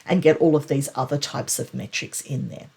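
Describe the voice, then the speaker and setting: A woman's voice: rumbling low, steady tone, normal speed, vocal fry, a little mellow, slightly rough. A woman presenting a conversational, explanatory recap of tech news, leaning on key points for emphasis.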